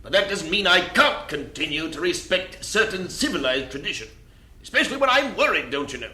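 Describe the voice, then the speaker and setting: A proper, stubborn British missionary gentleman for an audio drama. His voice is forceful and raspy, pitched on the high side, and his speech is formal and fussy. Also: very animated, brisk, exaggerated voice. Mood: fussy, worried.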